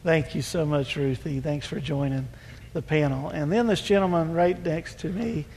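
High-voiced pastor in a reverberant church. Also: male voice, normal speed, vocal fry, darkish tone, a little hiss or hum. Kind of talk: sermon panel hosting. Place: church sanctuary. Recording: hall reverb.

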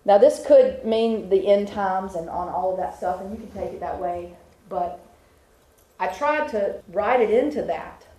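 A woman's voice: medium pitch, unhurried, warm tone. A woman preaching a sermon to a congregation, building her points with rhythmic repetition. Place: church with a congregation. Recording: echoey room.

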